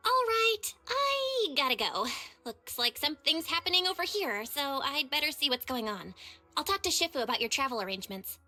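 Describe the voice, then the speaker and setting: A woman's voice: sits high, sing-song, normal speed, pushed and bright, soft background music, exaggerated voice. An actress playing an earnest, level-headed young female investigator in a video game, casual and thoughtful. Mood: brisk, friendly.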